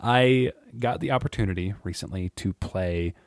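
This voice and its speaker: A man with a deep voice, chatting casually and rambling to his viewers, with frequent chuckles and filler words. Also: moderate pace, clear, resonant tone, no room sound, studio quality. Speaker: YouTube creator. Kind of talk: casual monologue to camera.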